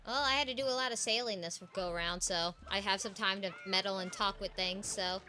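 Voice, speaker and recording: A young adult woman, a game streamer, chatting playfully while she plays and deliberately pitching her naturally high voice lower. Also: steady tone, bright, forceful tone, slight background noise. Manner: playful and teasing. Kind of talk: casual livestream chat while gaming. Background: game music.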